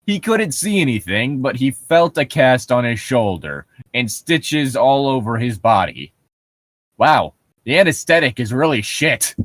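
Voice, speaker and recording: A man's voice: medium pitch, very animated, unhurried, a bit bright, no echo. A man reading a horror story aloud for comedy, breaking off into sarcastic, profane, incredulous commentary.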